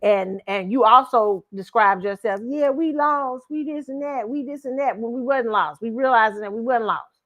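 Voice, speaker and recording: A woman's voice: a little high, moderate pace. A woman hosting her own commentary show, reacting to a clip bluntly and emphatically. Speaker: show host giving commentary.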